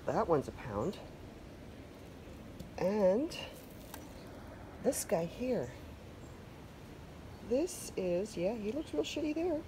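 A woman's voice: mid-range voice, big pitch swings, very slow, long pauses, noisy background. A Canadian woman chatting casually through a home garden vlog, loose and self-deprecating, with plenty of fillers. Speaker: vlogger. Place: covered wooden deck outdoors.

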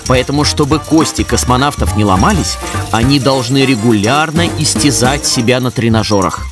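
A man playing a didactic science narrator in an educational cartoon, lecturing with gentle irony. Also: mid-range voice, moderate pace, music in the background, natural voice.

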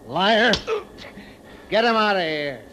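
Scornful high-pitched officer, noisy radio. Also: male voice, lively intonation, slow. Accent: American. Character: scornful, domineering cargo jet officer. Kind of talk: 1950s radio drama acting. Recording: noisy vintage 1950s radio recording.

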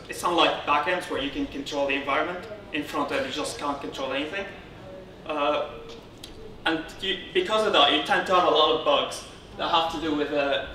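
A man from Syria speaks to a conference in an informal, halting way, restarting his phrases. His voice sits a little high and has a bright, forceful edge. It is picked up from a distance in a roomy space. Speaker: conference speaker, software consultant. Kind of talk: informal technical conference presentation. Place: indoor darkened conference room, on stage beside a lectern. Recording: roomy, distant, noisy room sound.

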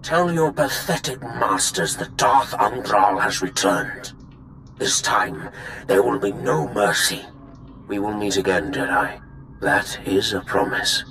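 Game villain: vengeful dark lord, forceful sharp tone, lowish, commanding and threatening. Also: male voice, lively intonation, takes it slowly, gravelly, a little hiss or hum, exaggerated voice. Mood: vengeful menace. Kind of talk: video game voice acting.

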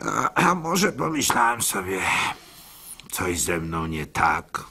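A man performing a first-person literary monologue for radio as narrator, in an expressive, folksy, conversational manner. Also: low voice, slow pace, vocal fry, breathy edge. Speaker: narrator and storyteller.

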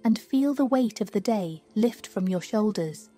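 A woman reading a scripted guided sleep meditation in a slow, soothing, hushed manner. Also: medium pitch, expressive. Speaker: meditation narrator. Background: music.

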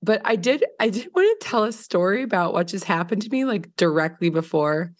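A woman in her thirties, hoarse from a recent illness, telling a story on a podcast in a casual, filler-heavy way, laughing often. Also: medium pitch, animated, a little fast. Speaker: podcast co-host. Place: home living room by windows. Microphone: large studio mic on video call.

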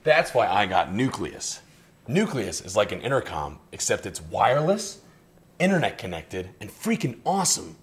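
A man's voice: fairly low pitch, big pitch swings, moderate pace, slight background noise. A man in his thirties doing an upbeat, scripted comedic product presentation in a salesman's delivery. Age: 30s.

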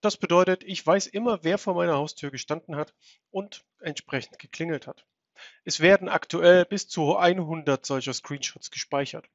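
A man in his thirties narrating an instructional software walkthrough, explaining step by step as a tutorial presenter. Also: a little high, moderate pace, dry recording, lo-fi sound.

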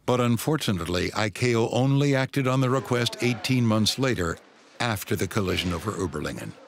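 A man's voice: fairly low pitch, expressive, brisk. A man reading scripted, dramatic narration as the narrator of a documentary. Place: voice-over booth. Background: background score music.

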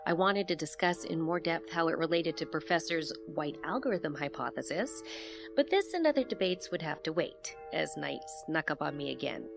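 A woman's voice: deep voice, expressive, normal speed, gravelly. An actress playing a curious, candid female investigator, narrating an audio drama in a reflective, inquisitive manner. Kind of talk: scripted first-person audio drama narration. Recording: music bed behind the voice.

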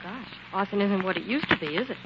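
An actress playing an eager, confident assistant to a sleuth, pert and animated, in a 1940s radio drama. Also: female voice, mid-range voice, fast talker, lightly acted. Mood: curious. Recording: muffled, very noisy 1940s radio recording.

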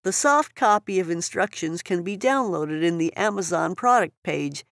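A woman's voice: on the low side, moderate pace, dry recording, clean studio sound. A woman reading scripted instructional narration for a product tutorial.

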